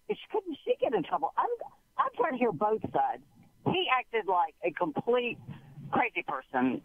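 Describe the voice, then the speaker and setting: A woman calling in to a radio show, talking in halting, rambling bursts and breaking off her own sentences. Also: medium pitch, husky. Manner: halting, rambling, interrupts herself. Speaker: caller. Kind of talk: call-in radio conversation. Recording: tinny phone line.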